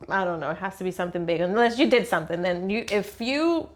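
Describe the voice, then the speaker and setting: A woman's voice: medium pitch, animated, fast. Casual young woman podcast host. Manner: casual, lots of likes and fillers, laughs easily. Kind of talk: casual interview chat.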